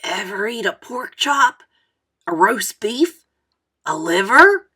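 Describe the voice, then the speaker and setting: A woman's voice: mid-range voice, sing-song, slow, sharp tone, breathy edge, heavily acted. A woman voicing a cocky, bossy fourth-grade boy in a storybook, scornful and emphatic. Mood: challenging. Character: cocky, pushy fourth-grade boy lecturing his friend.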